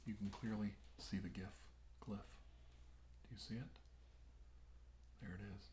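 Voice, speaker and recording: A man playing a calm, reassuring doctor in a soft-spoken, often whispered ASMR medical roleplay, talking slowly and gently. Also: on the low side, reverberant, a little hiss or hum, close to an everyday voice. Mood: soothing, attentive. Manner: soothing, hushed, slow and gentle, often whispering. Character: calm, reassuring male doctor treating an alien-abduction patient.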